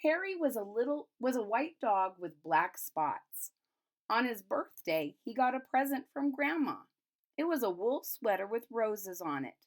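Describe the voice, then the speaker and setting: A woman's voice: on the high side, very animated, unhurried. Woman reading a children's picture book aloud. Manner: explains and recaps simply for young listeners. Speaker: storybook reader. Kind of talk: children's picture-book read-aloud with retelling in plain words.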